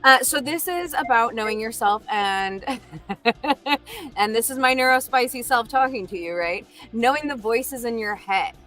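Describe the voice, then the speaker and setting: A woman's voice: fairly high pitch, lively intonation, measured pace, bright, forceful tone, faint music behind. A woman giving a casual motivational talk, animatedly acting out the doubting voices in a person's head. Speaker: speaker sharing a self-talk exercise.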